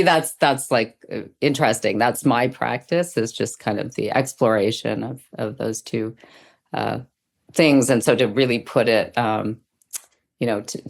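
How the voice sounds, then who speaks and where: A middle-aged woman speaking as an interview guest, thoughtful and reflective, often pausing as she explains her practice. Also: a bass voice. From Sri Lanka. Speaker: guest, dance teacher and Zen practitioner.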